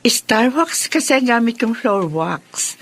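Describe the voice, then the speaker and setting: An actress playing a chirpy, inquisitive aunt in a Filipino radio drama. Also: female voice, fairly high pitch, big pitch swings, slow pace, a bit bright, breathy edge, exaggerated voice. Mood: cheerful.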